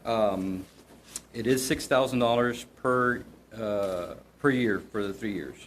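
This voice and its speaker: A man presents to a city commission. His delivery is halting, full of ums and restarts. Faint hiss and room ambience sit behind him. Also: fairly low pitch. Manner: halting, with frequent ums and repeated words. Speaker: city staff member presenting an agenda item.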